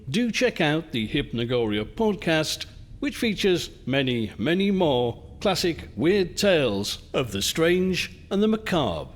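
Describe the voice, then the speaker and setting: A man narrating scripted closing credits for a spooky story podcast, with theatrical, measured delivery. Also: fairly high pitch. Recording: long reverberant hall effect.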